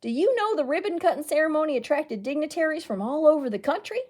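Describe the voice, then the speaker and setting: A woman reading a picture book aloud as a storyteller, with expressive, emphatic delivery. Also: sits high, fast talker. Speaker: children's librarian storyteller.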